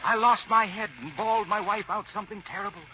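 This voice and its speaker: A man's voice: sits high, quick pace, bright, forceful tone. A man playing an eager, salesmanlike party guest on old radio, speaking in a polished, theatrical storytelling way. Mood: mock dramatic. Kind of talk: 1940s radio storytelling and sponsor pitch. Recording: muffled, noisy vintage radio recording.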